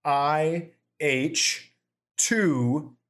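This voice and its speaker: A man hosting a podcast, telling stories in a casual, profane, animated way. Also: a little high, drawn-out words. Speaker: podcast host.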